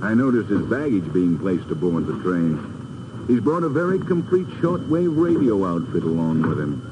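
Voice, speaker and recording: An actor playing an affable, talkative professor with a genteel, chatty manner, in 1950s radio drama style. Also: male voice, sits low, big pitch swings, moderate pace. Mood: puzzled. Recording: muffled, noisy old 1950s radio recording.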